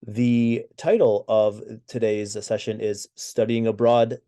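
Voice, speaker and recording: American guy hosting a webinar on a video call, lowish voice, mellow tone, lots of uhs. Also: little pitch movement, slow pace. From United States. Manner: frequent fillers and restarts. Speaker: webinar co-host. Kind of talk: presenting a webinar.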